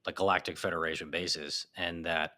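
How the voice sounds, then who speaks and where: A man chatting as a podcast guest, an animated storyteller whose voice jumps up when he gets excited. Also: low-pitched, normal speed. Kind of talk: casual chat. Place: apartment in a big city.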